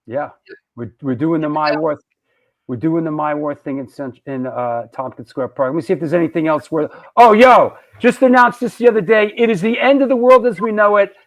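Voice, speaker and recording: A man's voice: a little high, fairly quick. A male host of a live online show, chatting loosely and joking, reading out viewer questions. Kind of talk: live show casual chat.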